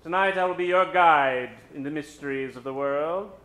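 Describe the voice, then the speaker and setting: A man hosting a live old-time radio show, introducing characters from the stage in a dramatic, drawn-out storytelling style. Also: on the high side, a bit bright. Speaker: host and announcer. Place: stage before a live studio audience. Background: musical accompaniment.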